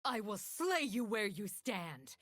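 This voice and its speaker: An actress playing a fierce, cocky assassin in a fantasy game, with a menacing, curt, taunting manner. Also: female voice, mid-range voice, sing-song, slow pace, fairly bright tone, no room sound, full character voice. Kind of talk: video game character lines.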